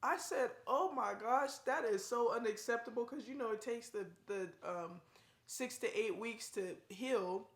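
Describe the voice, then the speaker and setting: A woman's voice: fairly high pitch, unhurried, roomy sound. A young woman and new mother chatting casually in a family vlog, talking in a rambling way. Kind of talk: casual chat.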